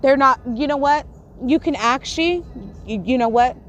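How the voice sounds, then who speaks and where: A woman's voice: sits high, moderate pace, lo-fi sound. A woman who stutters and has a lisp, ranting angrily at her livestream chat, swearing and restarting her sentences. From Texas. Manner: angry, swearing, repeats and restarts, confrontational. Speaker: YouTuber on a livestream. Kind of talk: heated livestream rant to chat.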